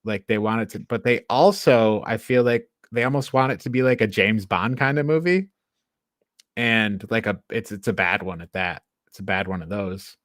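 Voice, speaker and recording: A man hosting a casual film review discussion, speaking in a halting, hesitant way. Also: fairly low pitch, fairly bright tone, no echo, clean studio sound. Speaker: podcast host.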